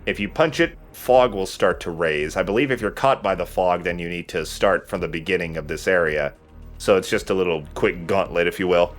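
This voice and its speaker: A man's voice: low-pitched, a little fast. A man giving casual gameplay commentary as he plays, talking through it in offhand asides. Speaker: let's player playing the game. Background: video game music.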